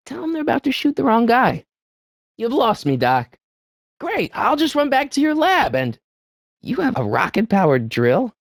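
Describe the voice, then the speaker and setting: An actor playing an excitable, good-hearted teenage boy in an adventure video game, with hesitant stammers and an incredulous way of questioning things. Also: extremely high pitch, no echo, clean studio sound, heavily acted. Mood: concerned, eager. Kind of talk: animated video game voice acting.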